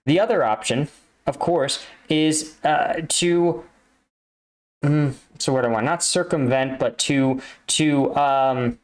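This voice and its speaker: A man giving off-the-cuff explanatory commentary as a reaction video host, analytical and halting as he searches for words. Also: on the high side. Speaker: reaction video host, army combat veteran.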